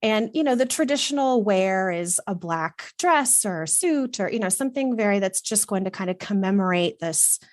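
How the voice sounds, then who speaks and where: A woman's voice: medium pitch, animated, moderate pace, hardly any pauses, dry recording, studio quality. Earnest, reflective middle-aged woman from Texas. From Texas, USA. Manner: reflective and earnest, with some fillers. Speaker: guest, wardrobe consultant. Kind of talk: casual conversational storytelling.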